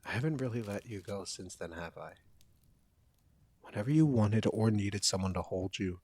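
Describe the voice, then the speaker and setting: A man's voice: fairly low pitch, no echo. A man playing a caring, protective young college friend in an intimate, one-sided audio roleplay, speaking in an earnest, conversational way.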